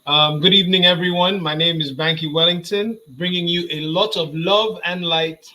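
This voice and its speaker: A young man speaks on a video call with a bright, forceful voice pitched a touch high. He urges and repeats his points with steady energy, like a rally speaker. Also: normal speed, poor audio. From Nigeria. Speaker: guest speaker.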